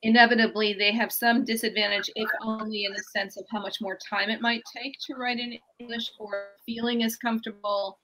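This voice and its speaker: A woman's voice: a little high, level tone, a little fast, few pauses, bright, forceful tone, rough recording. A woman academic answering interview questions on a video call, explaining fluently and thinking aloud as she goes. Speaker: author being interviewed.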